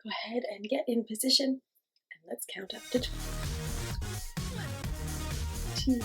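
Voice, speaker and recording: A woman teaching young children, giving simple encouraging instructions and asking questions, with pauses for answers. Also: a little high, creaky, loud music behind the voice. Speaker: children's class teacher and host. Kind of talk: children's lesson with guided movement.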